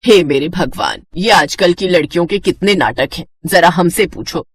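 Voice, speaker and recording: A woman's voice: lowish voice, lively intonation, normal speed, husky. A woman voicing a practical middle-aged mother in a storybook tale, chiding and nagging but fond. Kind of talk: animated Hindi moral story dialogue.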